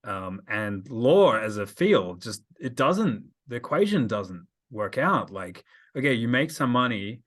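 A man's voice: mid-range voice, big pitch swings, measured pace, a bit bright. A man hosting a casual podcast conversation, rambling with lots of fillers and restarts. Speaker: podcast host.

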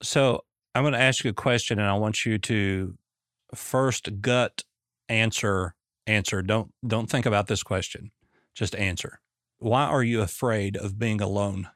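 A man coaching a guest in conversation, measured and probing, repeating his questions. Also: on the low side, fairly bright tone, dry recording, studio quality.

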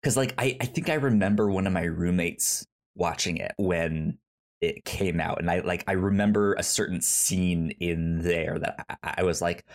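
Stammering man hosting a casual podcast. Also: low voice. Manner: halting, stammering with repeated word starts. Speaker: podcast host. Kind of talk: casual film review chat.

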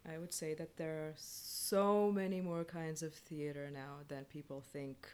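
A woman's voice: low voice, steady tone, measured pace, smooth. A woman chatting casually as a playwright guest on a panel, explaining things informally in short phrases.

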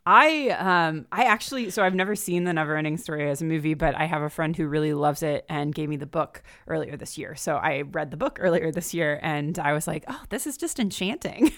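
A woman's voice: low voice, expressive, fairly quick, few pauses, fairly bright tone, dry recording, studio quality. A woman guesting on a podcast, chatting casually and with enthusiasm about books. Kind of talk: casual book discussion chat. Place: remote call.

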